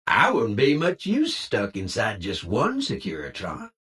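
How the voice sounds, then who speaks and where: Swooping, folksy cowboy robot. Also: male voice, fairly low pitch, wildly swooping pitch, a little slow, fairly bright tone, recorded from a distance, exaggerated voice. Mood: chummy, boastful. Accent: American cowboy drawl. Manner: folksy Old West slang, hearty and showy. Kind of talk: video game character lines.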